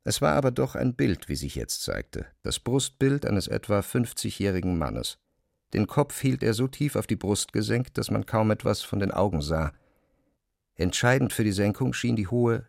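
A man's voice: lowish voice, expressive, moderate pace, vocal fry, dry recording, studio quality. A man narrating dramatised classic literature as a scripted storyteller.

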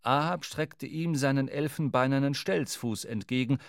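A man's voice: mid-range voice, normal speed, vocal fry, dry recording, studio quality, lightly acted. A man reading scripted literary narration as the storyteller of an audio drama. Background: faint music.

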